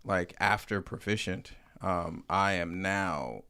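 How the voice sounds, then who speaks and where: A man's voice: low-pitched, slow pace, sharp tone, clean tone. A man hosting a podcast in a studio, talking in a loose, joking way with plenty of swearing. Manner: loose, profane, joking, rambling. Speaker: podcast host. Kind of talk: casual conversation. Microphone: studio microphone.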